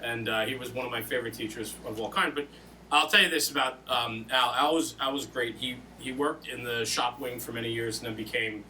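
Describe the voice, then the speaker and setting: A man's voice: medium pitch, fairly even intonation, brisk, bright, forceful tone, distant mic, a little hiss or hum, low-quality recording. A man hosting an interview, telling personal anecdotes in a casual, colloquial way. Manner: casual, colloquial storytelling with reenacted dialogue. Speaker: podcast host and volunteer firefighter.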